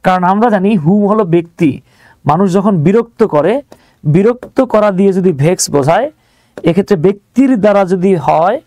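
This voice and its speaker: A Bangladeshi man speaks in a fairly high but mellow voice, recorded close and dry. He teaches grammar to online students informally, breaking things into steps. He often asks a question and then answers it himself. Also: a little fast, dry recording. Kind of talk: live online lesson, explaining step by step to students.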